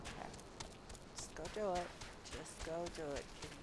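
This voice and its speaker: A woman's voice: deep voice, normal speed, very noisy. A woman streaming a game, thinking aloud in short, casual muttered fragments.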